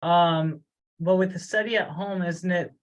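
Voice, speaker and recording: A man's voice: high-pitched, steady tone, unhurried. Man thinking aloud on a call. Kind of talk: discussion on an online group call. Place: remote teleconference.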